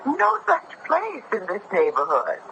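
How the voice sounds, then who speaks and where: A plain-spoken, skeptical country-style housewife in a vintage radio play with faint noise. Her voice is bright and extremely animated, and she talks slowly in blunt, folksy turns of phrase. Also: medium pitch, exaggerated voice, poor audio. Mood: amused, matter-of-fact. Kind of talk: old radio drama acting.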